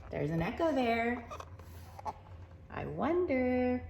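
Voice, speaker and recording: A woman reading a picture book aloud to children in an expressive, wonder-filled way, with chatty asides and hushed moments. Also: high voice, takes it slowly, gravelly, slight background noise. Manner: animated, wonder-filled, addressing young listeners, dropping to hushed tones.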